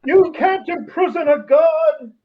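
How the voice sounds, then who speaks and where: A man voicing a young male dream god, loud, strained and dramatic, in an amateur radio play. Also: extremely high pitch, expressive, slow, lo-fi sound, pitched above the performer's own voice, exaggerated voice. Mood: defiant. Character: terrified young male dream god, commanding turned desperate.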